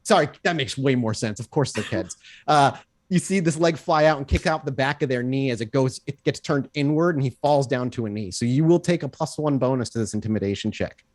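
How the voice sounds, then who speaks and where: A man's voice: mid-range voice, a little fast, no echo. A man running a tabletop game as game master, narrating in an improvised, conversational way.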